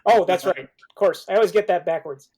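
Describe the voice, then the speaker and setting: A man's voice: high-pitched, a little fast, darkish tone. A man serving as jury foreman on a comedy podcast, in casual panel talk. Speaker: mock-trial jury foreman.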